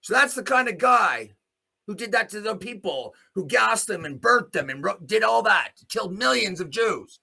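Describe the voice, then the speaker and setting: A man delivers an opinionated, off-the-cuff commentary as a show host. His voice is forceful and rough-edged, and his pitch moves up and down in a very animated way. He is blunt and sardonic, leaning on pointed rhetorical questions and swearing. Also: normal speed. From Canada. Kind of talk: opinionated rant, spoken off the cuff.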